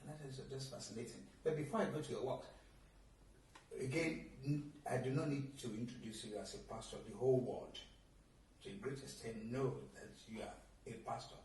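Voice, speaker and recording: A man's voice: mid-range voice, fairly quick, a little mellow. A Nigerian-born male TV interviewer asks long, rambling questions, often restarting his sentences. His mid-range voice sounds distant, picked up in a very echoey room with faint background noise. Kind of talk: conversational interview.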